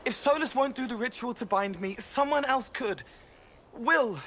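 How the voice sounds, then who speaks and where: A high-voiced, anxious boyish spirit. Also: male voice, a bit bright, slight background noise. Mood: anxious, pleading. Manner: halting, fragmented, dreamy phrasing. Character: anxious, innocent young male spirit, earnest, strange. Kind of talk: video game voice acting.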